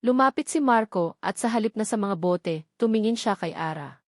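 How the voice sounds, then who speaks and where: A woman with a Filipino accent reads a scripted romance story as narrator, with measured, expressive dramatic delivery. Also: medium pitch, dry recording, clean studio sound.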